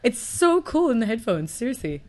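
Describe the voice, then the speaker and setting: A woman's voice: a little high, sing-song, moderate pace, a little mellow. A young woman from Australia, a podcast guest, chatting in a self-mocking way and laughing often. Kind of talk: casual chat.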